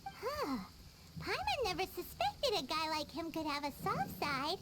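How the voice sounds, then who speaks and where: A woman voicing a tiny, excitable, cheeky fairy companion with a squeaky childlike voice in a video game. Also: measured pace, very noisy, full character voice. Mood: curious. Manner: refers to self in third person, exclamatory. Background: background music.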